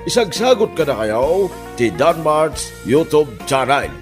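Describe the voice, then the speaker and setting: A man's voice: a little high, big pitch swings, unhurried. A man announcing the close of a drama in scripted narration. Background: plucked guitar music.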